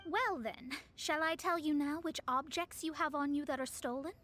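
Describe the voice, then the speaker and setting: A woman's voice: high voice, normal speed, fairly bright tone, slight background noise. An actress voicing a proud, confident young female astrologist in a video game, speaking with poised self-assurance. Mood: challenging.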